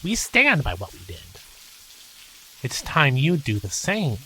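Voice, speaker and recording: An actor voicing a stern wise monkey guardian in an audio drama, speaking slowly and solemnly. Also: mid-range voice, wildly swooping pitch, a bit bright, slightly rough, exaggerated voice, poor audio. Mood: stern, commanding.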